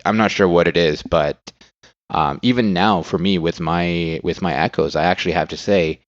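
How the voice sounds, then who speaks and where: A man's voice: low voice, steady tone, moderate pace, no echo, dull audio. A man from Canada co-hosting a podcast, talking in a hesitant, hedging way. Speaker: podcast co-host. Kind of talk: casual tech chat.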